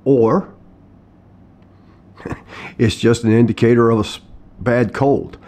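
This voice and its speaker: A middle-aged man with a hoarse throat from a cold, talking casually to camera from his living room, plain-spoken with frequent ums and restarts. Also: medium pitch, steady tone, takes it slowly, a little mellow. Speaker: vlogger sharing his health story. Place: living room at home, indoors.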